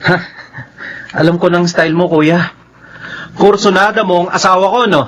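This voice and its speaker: A Filipino actor playing a jealous, menacing lawyer husband and younger brother in a radio melodrama, accusing and taunting. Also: male voice, high-pitched, fairly even intonation, normal speed, a bit bright.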